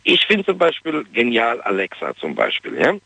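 A man's voice: mid-range voice, wildly swooping pitch, moderate pace, creaky, sharp tone, slightly rough, no room sound. A male caller with a regional German dialect, chatting enthusiastically with lots of fillers, heard over a phone line. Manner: enthusiastic, many "äh" fillers and "ja?" tags.

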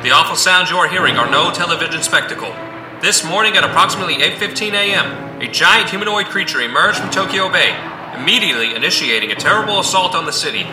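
Man as high-voiced, grave emergency broadcaster. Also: animated, fast, roomy sound, music in the background, natural voice, poor audio. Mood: urgent, grave. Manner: grave, formal announcing. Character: urgent male emergency news broadcaster. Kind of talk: formal emergency broadcast in audio drama.

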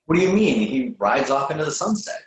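A man acting a reluctant, sensible friend with a halting, incredulous manner in a readers theatre comedy, recorded in an echoing room. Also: mid-range voice, sing-song, lightly acted. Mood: dismissive. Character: exasperated, reluctant male friend, sensible but awkwardly polite.

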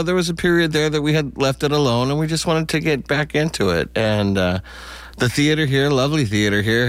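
A man's voice: mid-range voice, no echo. A middle-aged or older man giving casual, anecdotal answers as a theater director being interviewed.

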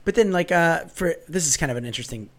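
A man with a raspy voice from a cold, chatting as a vlogger and musician in a loose, joking interview. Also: on the high side, fast. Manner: relaxed, rambling, self-mocking humor. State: hoarse from a cold. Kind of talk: casual interview chat. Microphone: remote call.

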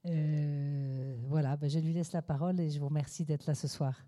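An older woman, a museum curator, introducing a guest speaker off the cuff, with many pauses and fillers. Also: deep voice, little pitch movement, mellow. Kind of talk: spontaneous introductory speech.

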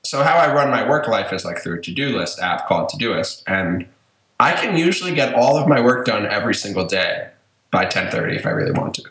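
A man's voice: on the low side, very animated, brisk, fairly bright tone. A man in his early twenties chatting casually as a podcast co-host, loose and chatty, peppering his talk with "like". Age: early 20s. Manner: loose, chatty, filler-heavy with "like". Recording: roomy, echoing sound.

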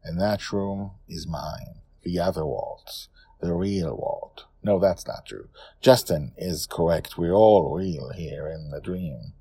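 A man voicing a reflective, wistful old grandfather who tells his dream adventure story in a musing, unhurried way. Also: deep voice, expressive, gravelly, warm tone, husky, no echo, voice pushed down, full character voice. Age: older. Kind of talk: first-person audio story narration.

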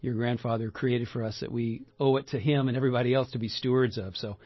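Male radio host, enthusiastic, rambling, husky voice, dull lo-fi sound. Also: mid-range voice, fairly even intonation, quick pace, dry recording. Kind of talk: radio talk interview.

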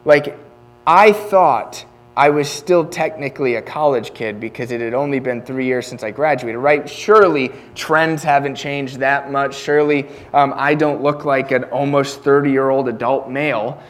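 A man's voice: mid-range voice, normal speed. A man in his late twenties preaching a sermon in an earnest, conversational way, often restarting his sentences. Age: 20s.

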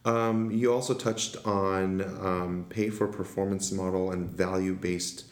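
A man's voice: low voice, fairly even intonation, measured pace. A man hosting a podcast interview, asking questions in a hesitant way with many fillers. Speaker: resident interviewer. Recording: echoey room.

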